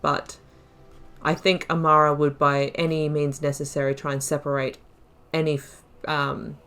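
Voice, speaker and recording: A woman with a low-pitched voice narrates her own game playthrough. She is casual and chatty, musing out loud as she works through her thoughts. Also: flat delivery, moderate pace, faint music behind. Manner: chatty, musing, thinking aloud. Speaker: gaming YouTuber. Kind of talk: casual let's play commentary.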